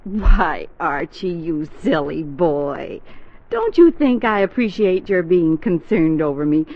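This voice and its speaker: A woman's voice: fairly low pitch, lively intonation, slow, full character voice. A woman playing a doting, soothing middle-aged mother in 1940s radio comedy style. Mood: tender, reassuring. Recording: dull, muffled 1940s radio recording.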